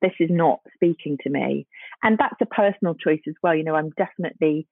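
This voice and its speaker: A woman's voice: sits low, brisk, no echo. A woman in her forties, a guest on a chat show, losing her voice, talking chattily and repeating words as she thinks. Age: 40s. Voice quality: husky, gravelly. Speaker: guest, fashion journalist. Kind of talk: casual interview chat. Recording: tinny phone line.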